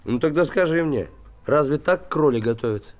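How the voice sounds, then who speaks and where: An actor in his thirties playing a gruff, warm-hearted small-town handyman, lovesick and bitter, talking in blunt colloquial speech in a naturalistic TV drama. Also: fairly high pitch, lively intonation, fairly quick, lightly acted. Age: 30s. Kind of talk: naturalistic television drama acting. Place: small wooden house room.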